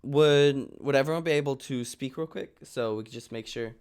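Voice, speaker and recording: A man's voice: mid-range voice, normal speed, clear, resonant tone. A man casually hosting an online table read, chatting and explaining things to viewers. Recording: online group call livestream.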